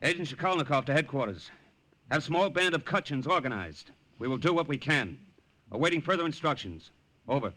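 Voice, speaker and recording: An actor playing an earnest American army captain and secret agent, steady and plainspoken, in a 1950s radio drama. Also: male voice, on the high side, brisk, no echo. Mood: crisp, official. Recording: old radio recording, faint noise.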